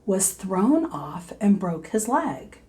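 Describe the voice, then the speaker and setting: A woman reading a children's storybook aloud in a deliberate teacherly way, pausing to put questions to young listeners. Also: medium pitch, big pitch swings, warm tone.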